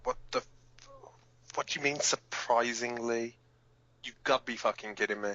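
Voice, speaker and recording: A man's voice: mid-range voice, moderate pace, sharp tone, muffled recording, close to an everyday voice. A man playing a hot-headed, protective resistance fighter who hosts a rebel radio broadcast in an audio drama, blunt, emphatic and given to swearing. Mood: indignant, heated.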